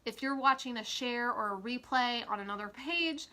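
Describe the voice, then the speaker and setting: Woman, stuffy nose, bright tone, slightly high pitch, casual live-video talk. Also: normal speed. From Kansas, USA. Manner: chatty, many fillers. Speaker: essential oil educator.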